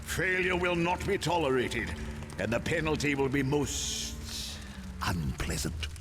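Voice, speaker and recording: An actor playing an imperious armored male villain who threatens in slow, measured words. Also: fairly high pitch, expressive, music in the background. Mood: commanding. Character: menacing armored male tyrant villain, cold and commanding. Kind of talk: video game cutscene acting.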